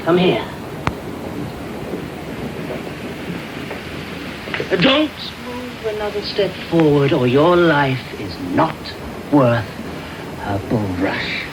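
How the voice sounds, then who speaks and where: An actor playing a menacing, volatile, secretive villain with a British accent in a 1990s radio drama. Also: male voice, fairly high pitch, sing-song, slow pace, heavily acted. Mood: cold, menacing. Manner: threatening, volatile, sudden outbursts. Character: menacing, secretive villainous man, violent-tempered, impatient schemer. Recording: very noisy medium-wave radio recording.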